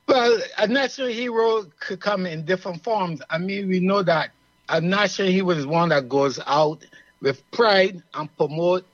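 A man with a Barbadian accent talks earnestly and at length, as if explaining something to a friend on air. His voice sits a little high. The sound is dry and somewhat muffled. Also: normal speed, no room sound. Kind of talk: casual radio chat.

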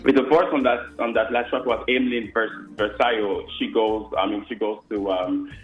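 A man's voice: fairly low pitch, steady tone, normal speed, echoey room, muffled recording, faint music behind. A man from Haiti, a French and Creole speaker, answering radio interview questions earnestly with many fillers. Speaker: guest musician and worship leader.

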